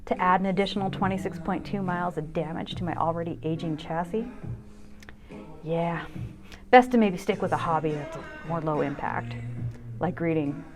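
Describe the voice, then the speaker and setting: A woman's voice: lowish voice, moderate pace. Candid middle-aged woman vlogger over music. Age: 50s. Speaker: vlogger and narrator of own videos. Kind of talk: personal video narration, reflective and wry. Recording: music under the voice.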